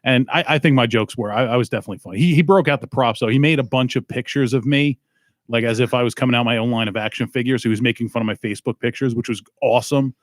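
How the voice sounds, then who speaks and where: A man's voice: medium pitch, quick pace, dry recording, studio quality. Crude, teasing male podcast host. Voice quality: profane, teasing. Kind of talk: crude casual banter.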